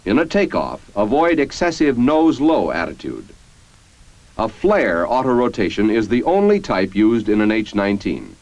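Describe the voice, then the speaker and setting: An American man reading scripted 1950s military training-film narration in a formal, measured, instructional manner. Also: fairly low pitch, sing-song, darkish tone, no echo. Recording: dull, band-limited 1950s film soundtrack.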